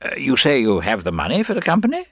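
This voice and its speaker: A man's voice: medium pitch, huge pitch swings, quick pace, dry recording, clean studio sound, pitched above the performer's own voice. An actor playing a stingy, self-important rich young businessman with clipped, pompous upper-class talk. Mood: puzzled.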